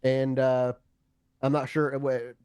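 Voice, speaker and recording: A man narrating a tabletop roleplaying game as storyteller, improvising in a conversational, halting way and often correcting himself. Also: mid-range voice, level tone, a little mellow, clear, resonant tone, no echo.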